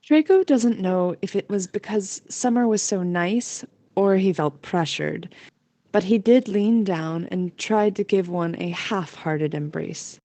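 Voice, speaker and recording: A woman's voice: mid-range voice, a little slow, dark tone, no echo. A woman narrating a scripted fantasy audio story in a storytelling voice.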